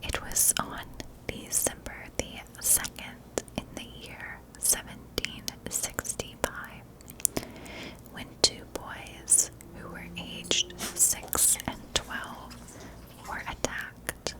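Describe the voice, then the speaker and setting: Female ASMR narrator: fully whispered, breathy and soft, unhurried storytelling with deliberate phrasing. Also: rough recording.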